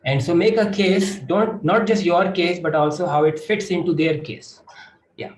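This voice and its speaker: A man's voice: sits high, normal speed. A man with a Pakistani accent speaking as a panelist, encouraging and explaining by restating his points. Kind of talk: encouraging advice in an online panel discussion. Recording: echoey room with faint noise.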